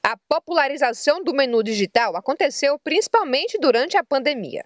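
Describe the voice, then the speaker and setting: Woman, Brazilian accent, news reporter, high bright pitch, lively intonation, dry close sound. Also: fairly quick, no echo. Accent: Brazilian Portuguese.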